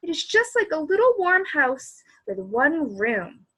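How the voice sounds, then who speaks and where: A woman's voice: high-pitched, very animated, measured pace, sharp tone, pitched above the performer's own voice, full character voice. A woman voicing a sweet young girl from a children's storybook, admiring and exclaiming with delight.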